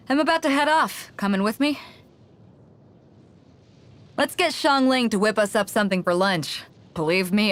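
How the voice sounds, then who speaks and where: A woman's voice: mid-range voice, a bit bright. A woman playing a bold, hearty, easygoing sea captain for a video game, confident and comradely in manner. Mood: relaxed, hearty. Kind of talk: video game character voice lines. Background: background music.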